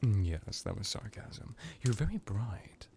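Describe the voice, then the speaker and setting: A man voicing an ancient, smug vampire crime lord in an ASMR roleplay, drawling with theatrical pauses and sarcastic condescension. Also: lowish voice, big pitch swings, gravelly, warm tone, slight background noise, heavily acted. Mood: mocking, sarcastic.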